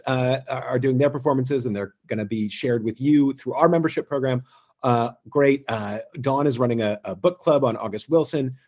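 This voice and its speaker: A man co-hosting a theatre company's online show, making casual announcements in a halting, conversational way. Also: mid-range voice, darkish tone, muffled recording.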